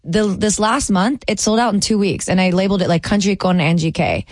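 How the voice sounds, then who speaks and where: A woman's voice: mid-range voice, brisk, dry recording, clean studio sound. Enthusiastic woman musician on radio. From El Salvador. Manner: enthusiastic, fillers. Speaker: country musician, guest. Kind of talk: radio panel discussion.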